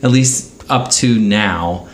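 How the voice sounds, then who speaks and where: A man's voice: on the low side, takes it slowly, slight background noise. A man co-hosting a podcast, talking casually about a television show. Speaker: podcast co-host. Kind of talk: casual TV rewatch chat.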